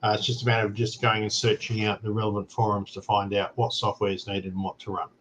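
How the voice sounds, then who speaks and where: A man's voice: on the low side, little pitch movement, fast talker, a bit bright, slight background noise, poor audio. An Australian man hosting a casual talk show, explaining things plainly and often checking in with "right?". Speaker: show host. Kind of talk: casual chat.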